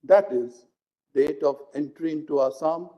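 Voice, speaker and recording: A man with an Indian accent, a judge reading out a legal judgment in formal, deliberate phrasing. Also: a little high. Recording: dull lo-fi live stream audio.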